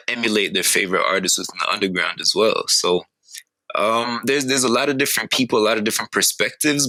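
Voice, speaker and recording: A young man from Calgary, a hip hop artist, answering interview questions in an articulate, measured, thoughtful way. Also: deep voice, pushed and bright, no room sound, poor audio. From Calgary, Canada.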